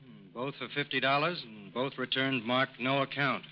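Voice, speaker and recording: An actor in an old radio drama playing a businesslike FBI investigator. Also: male voice, medium pitch, normal speed, close to an everyday voice. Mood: brisk, inquiring. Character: businesslike male FBI agent probing a case. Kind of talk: mid-century American radio drama acting. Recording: muffled, noisy old radio recording.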